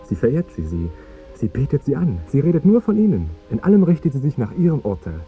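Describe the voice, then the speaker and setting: An actor playing a courteous, guileless fiancé who talks in an eager, earnest and tactless way in a radio drama. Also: male voice, medium pitch, wildly swooping pitch, fast. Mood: admiring, earnest. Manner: polite, chatty, earnest. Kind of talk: 1950s German radio drama acting. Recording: dull 1950s radio recording with music.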